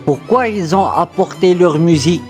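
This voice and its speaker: A woman voicing a curious, excited young boy in scripted children's cartoon dialogue, with an enthusiastic, wondering delivery. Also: on the low side, normal speed, soft background music, close to an everyday voice.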